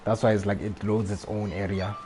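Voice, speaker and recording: A man's voice: on the low side, little pitch movement, fairly quick, noisy background. A man chatting casually on a gaming livestream, rambling and repeating himself as he goes. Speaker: live streamer.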